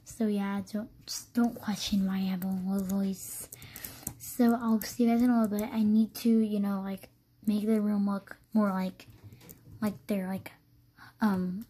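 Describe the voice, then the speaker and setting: A young woman narrating a casual house tour of a game build, rambling with many fillers, her voice low and hoarse from screaming. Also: flat delivery, unhurried, warm tone. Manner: rambling, many likes and ums. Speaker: video creator.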